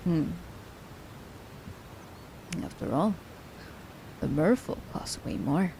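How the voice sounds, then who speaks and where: Woman as playful female assassin, ASMR roleplay: mellow, fry-laden voice, unhurried, fondly teasing. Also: low voice, lively intonation, a little hiss or hum, full character voice, poor audio. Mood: relaxed, teasing. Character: teasing, worldly female assassin fond of her werewolf captive.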